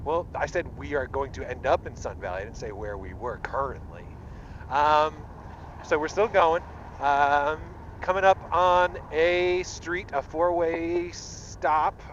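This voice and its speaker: Man, fairly high voice, chatty, playful live-report commentary, walking outside, muffled intercom, faint street noise. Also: unhurried. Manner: chatty, mock weatherman and reporter delivery. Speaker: friend helping test headsets. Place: outdoors walking along a city street. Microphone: wireless intercom headset. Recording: dull intercom headset, faint traffic noise.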